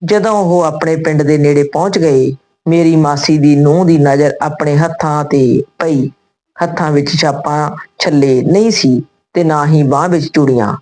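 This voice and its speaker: Female storyteller, low register, reads scripted tales and dialogue phrase by phrase. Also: mellow, low-quality recording.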